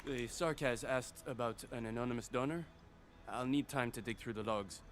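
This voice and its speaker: A man's voice: medium pitch, moderate pace, a little hiss or hum, lightly acted. An actor playing an earnest, emphatic logistics supervisor in a video game, fiercely loyal to his friends. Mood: worried, earnest.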